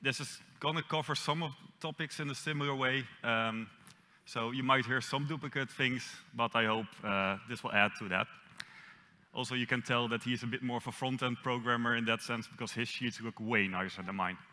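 A young man with a Dutch accent gives a conference talk on an auditorium stage, dropping in dry self-mocking jokes. His voice sounds bright and forward. A long reverb from the hall trails behind him. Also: medium pitch, normal speed. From Netherlands. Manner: self-deprecating, lightly joking. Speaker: conference speaker.

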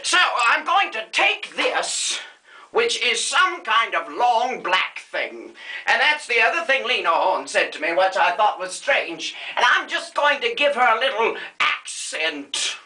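An aging screen diva, vain, flighty and bawdy, who rambles through a comedy makeup lesson, as a performer puts her on. Her voice is piercingly bright and swoops wildly up and down as she drops names in a grand, theatrical manner. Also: medium pitch, very animated, measured pace, reverberant, poor audio. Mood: campy. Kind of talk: comedy sketch, makeup tutorial parody.

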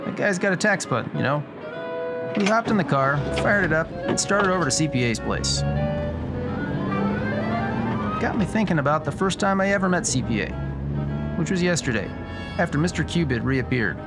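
A man's voice: a little high, big pitch swings, brisk, over loud music. A man playing a wry, pun-loving detective narrator in a 1940s-style noir radio drama. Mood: wry narrating. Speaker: podcast host playing himself.

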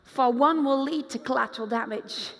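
Woman, pastor, high voice, echoing hall, lively sermon. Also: normal speed, gravelly. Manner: animated preacher, acts out quoted voices, moves around the stage. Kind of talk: conference sermon. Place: large dark stage with hall reverb. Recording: long hall reverb.

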